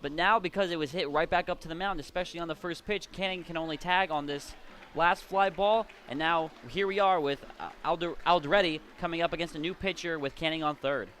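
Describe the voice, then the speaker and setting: A man with a slightly high voice speaks as a color commentator on live baseball radio. He explains the play in a conversational way, with some ambient noise behind him. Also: steady tone, a little fast, fairly bright tone. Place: at a baseball game.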